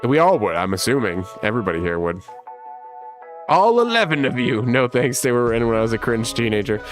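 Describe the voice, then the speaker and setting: A man in his thirties with a rough smoker's voice, casually chatting on a livestream and reading viewer comments, with lots of laughs. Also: mid-range voice, sing-song, fairly quick, soft background music. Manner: laid-back and rambling, laughs often. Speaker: livestream host.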